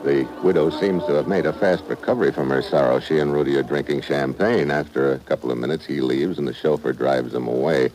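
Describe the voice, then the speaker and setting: An actor playing a tough, wisecracking American secret agent who narrates and acts in clipped slang in an old-time radio thriller. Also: male voice, rumbling low, fairly even intonation, fairly quick, hardly any pauses, darkish tone. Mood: terse narration. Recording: noisy old radio drama recording.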